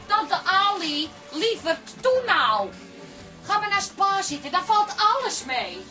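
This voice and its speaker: A woman's voice: extremely high pitch, very animated, takes it slowly, pushed and bright, soft background music, heavily acted, rough recording. An actress in a Dutch comic radio play, playing a worried, melodramatic grown daughter fussing over her father. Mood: concerned.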